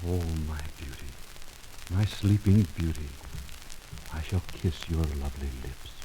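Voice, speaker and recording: A man's voice: extremely low pitch, a little slow, voice pushed down. A man voicing a lovestruck young prince on a storybook record, in an exaggerated theatrical croon. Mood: swooning. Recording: hissy old record.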